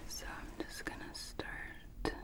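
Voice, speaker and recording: A woman speaking in a soft whisper, gently giving step-by-step instructions as an optometrist in an ASMR medical roleplay. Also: takes it slowly. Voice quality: soft, mostly unvoiced whisper.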